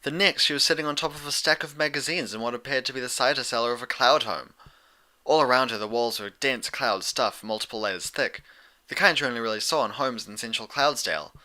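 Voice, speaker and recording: A man's voice: mid-range voice, fairly quick, bright, forceful tone. A man reading a story aloud as a scripted audiobook narrator.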